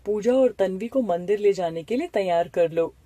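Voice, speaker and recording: Woman voicing a mellow, practical uncle. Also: mid-range voice, moderate pace, no echo, natural voice. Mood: matter-of-fact. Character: calm, practical uncle, head of household. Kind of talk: animated moral story dialogue.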